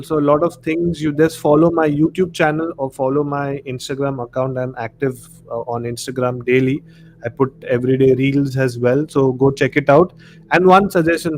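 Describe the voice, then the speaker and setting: A man with an Indian accent speaking casually as the host of a live podcast discussion. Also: medium pitch. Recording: clearly noisy live-stream audio.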